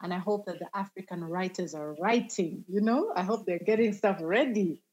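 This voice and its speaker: Woman guest chatting on a podcast, animated, laughs a lot, kinda muffled lo-fi sound. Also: mid-range voice, normal speed. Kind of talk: casual podcast interview.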